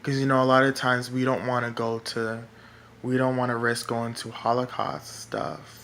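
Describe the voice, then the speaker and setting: A man with a feminine-sounding voice, talking in a rambling vlog monologue that is halting and full of fillers and restarts. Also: medium pitch, flat delivery, fairly bright tone, slight background noise. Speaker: political vlogger. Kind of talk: casual monologue to camera.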